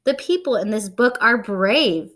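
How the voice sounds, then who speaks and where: Woman voicing an anxious little storybook toad, high-pitched. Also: expressive, unhurried, a bit bright. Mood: earnest. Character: anxious little toad, boasting bravery while frightened.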